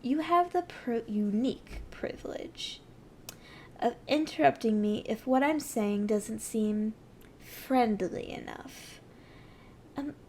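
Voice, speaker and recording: Woman acting a haughty, high-voiced evil sorceress queen. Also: dark tone, slight background noise. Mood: commanding, condescending. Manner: imperious, menacing, condescending. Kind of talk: scripted ASMR roleplay addressed to the listener.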